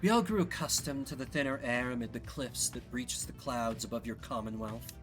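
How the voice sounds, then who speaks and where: A man's voice: mid-range voice, fairly quick, music in the background, close to an everyday voice. A man voicing a world-weary winged harpy woman in a fantasy game, with a wry, guarded, drawling delivery. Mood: wistful, uneasy.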